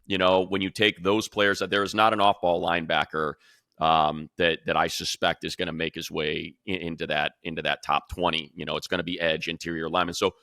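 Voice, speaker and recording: A man with a very low but bright, forceful voice talks sports analysis as a show host. He sounds emphatic and conversational, working through his reasoning aloud. The sound is close and dry. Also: flat delivery, brisk, no echo.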